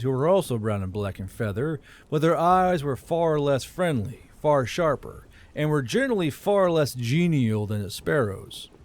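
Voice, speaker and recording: A man's voice: mid-range voice, darkish tone. A man narrating a fantasy story as an audiobook, as the host of his own channel. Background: background music.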